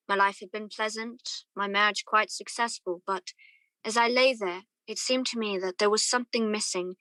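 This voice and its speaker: A woman's voice: mid-range voice, sharp tone, dry recording, lightly acted, rough recording. A young woman playing a wistful, courteous man who narrates his memories lyrically in a radio play. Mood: wistful, reflective. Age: young adult. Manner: lyrical, reflective storytelling. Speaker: student actor.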